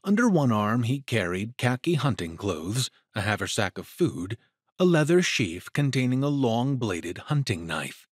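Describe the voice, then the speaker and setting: A man's voice: mid-range voice, sing-song, unhurried, husky, dry recording, studio quality. A man narrating a scripted adventure story in a dramatic style, as the narrator of an audio drama.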